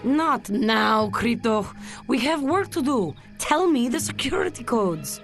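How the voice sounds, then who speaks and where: A woman's voice: fairly high pitch, very animated, a little slow, faint music behind. A woman playing a proud, strong-willed secret agent in a video game, dry and assertive. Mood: businesslike. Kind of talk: video game cutscene acting.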